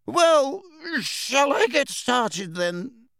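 Brief: man doing a jolly old-school witch teacher, high and slow, bouncy pitch. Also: big pitch swings, creaky, fairly bright tone, no room sound, heavily acted. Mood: hearty. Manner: hearty, brisk, upper-class. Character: brisk hearty middle-aged witch teacher. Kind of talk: audiobook character voice.

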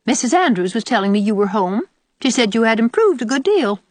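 A sour old country aunt, put on by a woman reader, pitched high and swooping. She nags and complains with biting sarcasm, as a storybook character who disapproves of everyone. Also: sing-song, fairly quick, no echo. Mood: sarcastic. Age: older. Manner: disagreeable, sarcastic, nagging. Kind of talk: audiobook character voice.

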